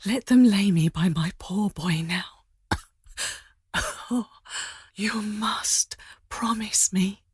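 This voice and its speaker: Woman acting dying young victorian wife, weak breathy whisper, slow n halting, meek and pleading, audio drama. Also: mid-range voice, no room sound, clean studio sound, heavily acted. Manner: halting, pleading, weakly coughing. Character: dying young wife and mother in debtors' prison, meek, devout.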